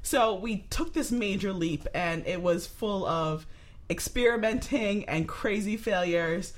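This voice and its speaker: A woman's voice: mid-range voice, a little slow. A woman speaking as a business-owner guest in a casual interview, informal and full of anecdote.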